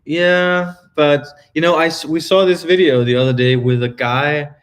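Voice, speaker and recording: A man's voice: on the high side, fairly even intonation, normal speed. Danish man, early thirties, Danish accent, casual livestream chat, self-mocking, stumbling. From Denmark. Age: 30s. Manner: self-mocking, dramatic, stumbles over words. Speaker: vlogger co-host of a livestream.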